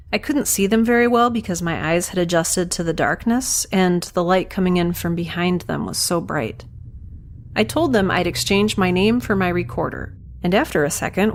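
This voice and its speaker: Actress doing stranded space girl keeping a log for a sci-fi audio drama, kinda low voice, wry and self-deprecating, faint ambient noise behind her. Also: close to an everyday voice. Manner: wry, rambling, self-deprecating, dry humour. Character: wry, stranded young female space scientist recording a captive's log. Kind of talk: first-person audio drama monologue, conversational.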